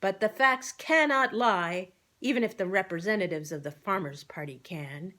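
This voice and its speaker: A woman's voice: medium pitch, moderate pace, pushed and bright, lightly acted. A woman voicing a pompous clockwork orator in a children's story, bellowing formal, grandiose speeches. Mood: pompous, declaiming. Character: pompous clockwork-man orator run by a scheming rooster.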